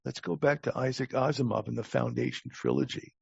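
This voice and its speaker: An older man with an American accent giving reflective interview answers as a guest, halting and repeating words as his thoughts form. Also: medium pitch, sing-song, gravelly, slightly rough, no room sound, dull audio. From Long Island, United States. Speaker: podcast guest, talent acquisition advisor.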